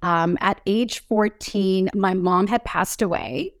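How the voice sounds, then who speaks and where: A woman's voice: mid-range voice, slow, dry recording, clean studio sound. A middle-aged woman from Newfoundland, Canada, giving a personal testimony as an interview guest. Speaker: podcast host as interview guest.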